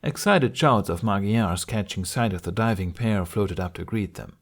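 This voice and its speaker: A man's voice: fairly low pitch, moderate pace. A man reading a scripted story aloud as an audiobook narrator.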